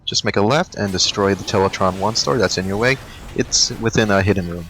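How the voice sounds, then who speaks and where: A man narrating a video game walkthrough, giving brisk, matter-of-fact directions to collectibles. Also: fairly low pitch, a bit bright. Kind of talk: walkthrough voice-over.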